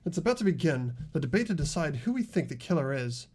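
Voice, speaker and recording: A woman's voice: deep voice, big pitch swings, quick pace, darkish tone, breathy edge, exaggerated voice. A woman voicing an earnest, determined teenage student in an amateur video game dub.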